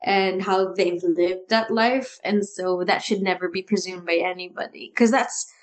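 A woman co-hosting a casual talk about improv, animated and often restarting her sentences with a laugh. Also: fairly low pitch, measured pace. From Philippines. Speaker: improv podcast co-host. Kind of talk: casual chat.

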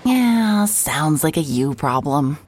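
A woman playing a hard-hearted, sarcastic private investigator with a deadpan delivery in a mystery audio drama. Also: deep voice, slow pace, a little hiss or hum, full character voice. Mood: sardonic. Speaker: lead actor in an audio drama. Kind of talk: cinematic audio drama acting, noir narration.

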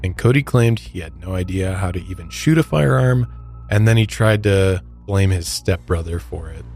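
A man's voice: deep voice, vocal fry, a little mellow, slightly rough. A man hosting a podcast, telling a true crime story in a conversational way. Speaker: podcast host.

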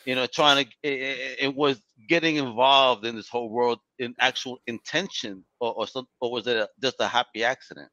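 A man's voice: medium pitch, steady tone, a little fast, hardly any pauses, bright, forceful tone, no room sound. A man hosting a podcast, talking in a rambling, slangy way and hyping up his listeners. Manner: slangy, rambling, hypes the audience. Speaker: podcast host. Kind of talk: casual podcast interview. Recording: dry, slightly muffled mic.

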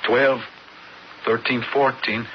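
A man acting an awed young outsider in a radio drama, speaking haltingly. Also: medium pitch, little pitch movement, natural voice. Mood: awed, uneasy. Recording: dull radio broadcast, faint noise.

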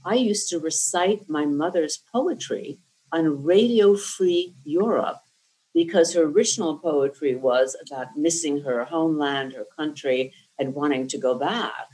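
A woman's voice: low-pitched, a little mellow, low-quality recording. A woman in her sixties from New Jersey, whose first language was Lithuanian, tells her life story in an interview in an articulate and reflective way. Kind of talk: oral history interview.

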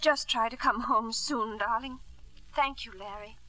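Worried wife and mother, old radio drama: high, bright, pleading, bravely composed. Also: a little slow, poor audio. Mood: polite, subdued. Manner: pleading, brave, breaking with emotion. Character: anxious, devoted wife and mother of a sick boy. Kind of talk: 1950s radio drama acting.

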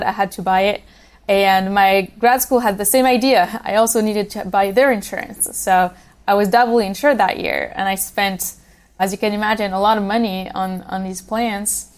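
A young woman from Switzerland speaks as a podcast guest, chatting easily and filling her pauses with ums and you-knows. Her pitch sits in a middle range. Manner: conversational, uses fillers like um and you know. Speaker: guest, policy program manager. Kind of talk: casual interview talk.

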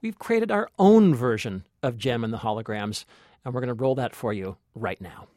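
A man's voice: mid-range voice, animated, normal speed, mellow, faint music behind. A man co-hosting a film podcast in a casual, chatty style. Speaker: podcast co-host. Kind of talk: casual film review chat.

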